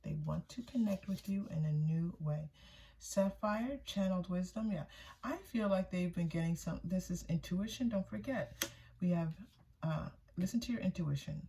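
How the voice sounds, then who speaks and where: A woman's voice: fairly low pitch, normal speed, mellow, slight background noise, poor audio. A woman giving a casual, intuitive tarot reading for viewers, often repeating phrases for emphasis. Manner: voices another person's thoughts in first person. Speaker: tarot reader, channel host.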